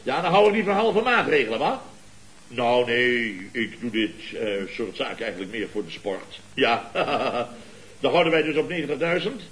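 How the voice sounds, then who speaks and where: An actor playing a blustering, boastful contractor boss in a Dutch radio comedy, with a pompous, theatrical, lecturing manner. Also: male voice, medium pitch, expressive, normal speed, fairly bright tone, a little hiss or hum, natural voice, poor audio. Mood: smug, boastful. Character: blustering, boastful contractor boss and uncle, scheming wheeler-dealer.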